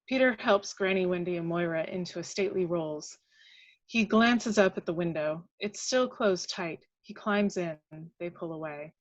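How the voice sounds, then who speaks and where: A woman's voice: on the low side, normal speed, dry recording. A woman reading dramatic action descriptions as scripted narration at a table read, on a lo-fi recording.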